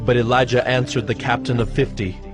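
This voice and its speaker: Measured male narrator over music. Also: mid-range voice, fairly even intonation. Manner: measured, formal. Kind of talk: scripted scripture narration.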